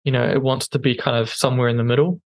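A man's voice: mid-range voice, flat delivery, quick pace, dry recording. A man co-hosting a podcast in casual news chat, with a non-native English accent, talking hesitantly with many ums and restarts. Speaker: podcast co-host.

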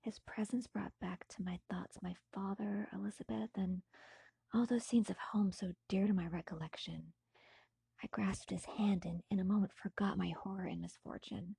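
A woman reading a classic novel as an audiobook in a breathy, husky, often whispery voice, with hushed, theatrical and deliberate phrasing. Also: mid-range voice, fairly even intonation, no room sound. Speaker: audiobook narrator. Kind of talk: dramatic scripted narration of a classic novel.

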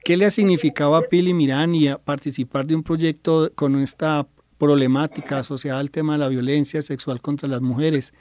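A man's voice: fairly high pitch, fairly even intonation, a little fast, a little mellow. A man with a Colombian accent hosting an interview show, speaking unscripted to guests. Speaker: host.

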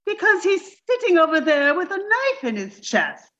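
Anxious two-timing wife, radio comedy. High, very animated, theatrical urgency. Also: female voice, moderate pace, highs cut off, exaggerated voice. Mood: urgent, cool. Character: anxious, scheming adulterous wife in farce. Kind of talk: comedic radio play acting.

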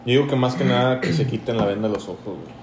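A young Mexican man from Tampico, dry and sarcastic as a podcast co-host. His voice is dark and mellow at a middle pitch. The room is echoey with a faint hiss behind him. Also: racing. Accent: Mexican Spanish, from Tampico. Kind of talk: casual podcast chat.

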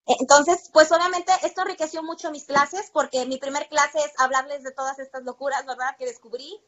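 Female podcast guest, Mexican accent, fairly high voice, emphatic conversational storyteller, slightly muffled sound. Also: fairly even intonation, a little fast, fairly bright tone. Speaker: interview guest, percussion teacher.

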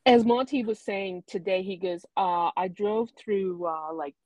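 A woman's voice: mid-range voice, a little slow, darkish tone, dry recording. A woman co-hosting a podcast in casual chat, hesitant, with many ums and trailing sentences. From Canada. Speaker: podcast co-host.

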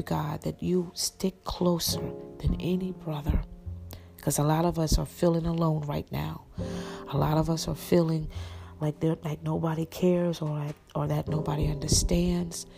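A woman with a raspy voice, preaching an encouraging sermon and praying with an earnest, repetitive cadence. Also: deep voice. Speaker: podcast minister. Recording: music playing behind the voice.

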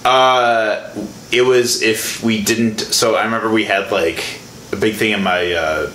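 A man's voice: on the low side, fairly even intonation, measured pace, bright, forceful tone. A man, chatting casually as host, rambling with lots of fillers and restarts. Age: 20s. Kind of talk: casual chat. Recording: echoey room with faint noise.

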